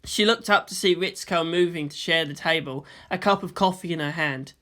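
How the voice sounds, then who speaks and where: A man's voice: a little high, brisk, sharp tone. Guy reading out a story as narrator, scripted audiobook style.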